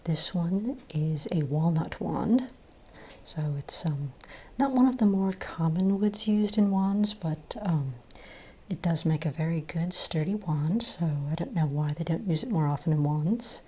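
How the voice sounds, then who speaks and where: Soft-spoken, low-pitched woman, near-whispering ASMR. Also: expressive, slightly rough. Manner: gentle, unhurried, reassuring shopkeeper patter. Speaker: ASMR role-play performer as wand shop owner. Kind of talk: soft-spoken ASMR role play, improvised sales patter.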